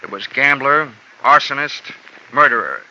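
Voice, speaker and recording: A man's voice: mid-range voice, unhurried, sharp tone. An actor playing a wry, hard-boiled male insurance investigator who narrates in dry, wisecracking first person, in 1950s radio drama style. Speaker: narrating detective lead. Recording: dull old radio recording with music.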